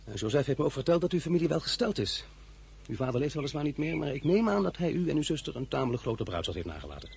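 A brisk young male general in a radio play, bold and sure of himself. His pitch swings widely as he presses his point, and faint noise sits behind him. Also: big pitch swings, gravelly, darkish tone. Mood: teasing, insistent. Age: young adult. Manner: assertive, blunt, persuasive. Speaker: actor in a radio drama.

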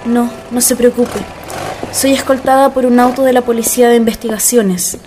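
A woman's voice: fairly high pitch, level tone, moderate pace, close to an everyday voice. A woman playing a refined, courteous president's daughter in a radio drama, speaking formally and precisely. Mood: self-assured. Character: refined president's daughter, polite, anxious, self-assured. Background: background music.

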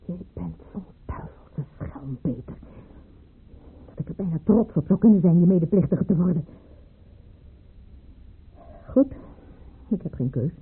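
A woman's voice: on the low side, animated, measured pace, pauses often, slight background noise. An actress playing a worldly noblewoman drawn into a scheme in a Dutch radio play, speaking dramatically and drawing out her words. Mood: resigned, shaken. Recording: muffled old radio play recording.

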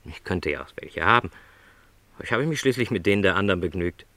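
A middle-aged travelling salesman in a radio play, with a low voice and lively intonation. He is lonely yet content, and speaks in a modest, reflective, courteous way. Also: brisk, no echo. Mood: gently reflective.

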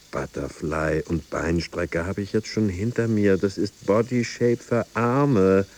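A man's voice: lowish voice, a little mellow, exaggerated voice. An actor playing a crude, scornful man at the gym in a radio drama monologue.

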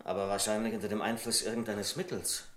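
An actor playing a proper, principled bank clerk in a radio play, speaking in a formal, precise and argumentative way. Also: male voice, lowish voice, steady tone, fast talker, lightly acted. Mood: worried. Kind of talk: German radio play acting.

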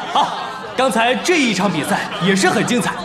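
An actor playing an excitable, showy male esports match announcer in an audio drama, projecting to a live audience. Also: very high voice, normal speed. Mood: excited, showy. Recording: echoey hall reverb, music and crowd behind.

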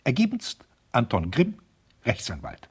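An actor playing a pompous, pedantic lawyer who dictates stiffly indignant, officious letters in a raspy voice, in a 1970s German radio play. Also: male voice, mid-range voice, huge pitch swings, measured pace, full character voice. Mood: officious, smug. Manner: formal, officious, legalistic, pointedly emphatic.

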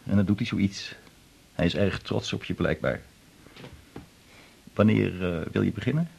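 A gravelly, chatty family doctor. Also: male voice, sits low, normal speed, darkish tone, heavily acted. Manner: chatty, explains at length. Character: established male family doctor, talkative. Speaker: general practitioner. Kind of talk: 1980s Dutch radio drama acting.

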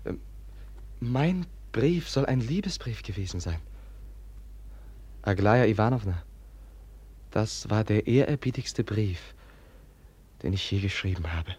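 An actor in a 1950s radio play playing a gentle, guileless young prince who speaks softly and hesitantly, often close to a whisper. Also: male voice, on the low side, lively intonation, measured pace, pauses often, breathy edge. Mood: hesitant, sincere. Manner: soft-spoken, hesitant, often near a whisper.

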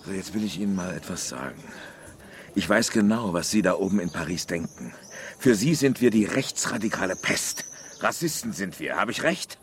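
A man's voice: low-pitched, expressive, normal speed, slight background noise. An actor playing a self-righteous, hostile village hunting-club man in a radio crime drama, blustering and hemming. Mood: indignant, threatening. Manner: hesitant fillers, sneering chuckles, menacing bluster.

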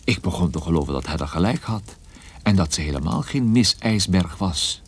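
A man playing a troubled, wry and guarded private detective in a radio play, with a husky voice and a dry, hesitant manner. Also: low voice, animated, moderate pace, heavily acted. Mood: wry narration. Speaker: lead character and narrator. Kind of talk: radio play narration and dialogue.

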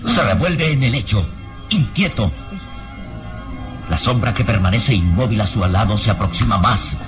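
A man narrating a radio serial in a suspenseful, theatrical and measured scripted style. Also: fairly low pitch, lively intonation. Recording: radio drama under loud music.

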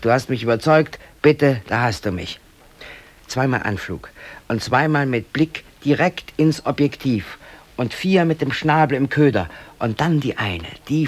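A man's voice: medium pitch, natural voice. An actor playing an aggrieved East German worker and amateur photographer in his late thirties, delivering a rambling, brooding radio drama monologue. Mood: rapturous. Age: late 30s. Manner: brooding, rambling, swinging between muttering and outbursts.

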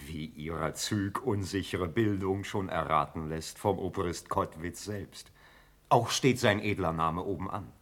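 Deep-voiced, courtly officer count. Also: male voice, a bit bright, heavily acted. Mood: respectful, earnest. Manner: formal, deferential, measured. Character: deferential cavalry officer count, courtly, formal, earnest. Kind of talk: classical German verse radio drama acting.

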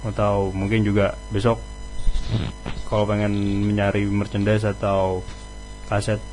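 A man hosting a casual radio interview, halting as he searches for words. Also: fairly low pitch, monotone, a little mellow, faint music behind, poor audio. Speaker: radio host interviewing a band.

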